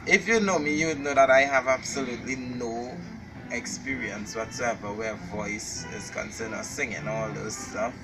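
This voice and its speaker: A man's voice: mid-range voice, moderate pace, over music. A man who was the conductor, speaking hesitantly with dry humor in an interview. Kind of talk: casual interview. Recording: distant mic.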